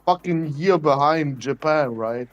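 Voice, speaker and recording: Man, somewhat high voice, hesitant, swears a lot, dry close sound, casual podcast. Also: dry recording, highs cut off. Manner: hesitant and halting, swears when annoyed. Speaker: podcast co-host. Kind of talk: casual gaming podcast chat.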